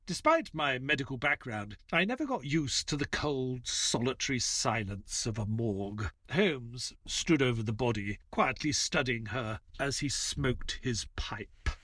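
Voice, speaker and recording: A man's voice: medium pitch, animated, fairly bright tone, husky, dry recording, studio quality. An actor playing an educated, polite male doctor who narrates a detective tale in descriptive, scripted prose. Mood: measured, sombre. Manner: measured, descriptive storytelling, courteous in dialogue. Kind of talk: scripted narration and audio drama acting.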